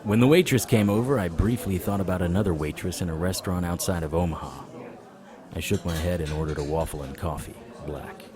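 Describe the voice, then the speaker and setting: Noir audio drama lead male actor: world-weary supernatural private eye, deep and sardonic, over crowd noise. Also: fairly quick, close to an everyday voice. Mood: wry narration. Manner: wry, sardonic. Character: hard-boiled male occult detective, wry and world-weary. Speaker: narrator and lead character.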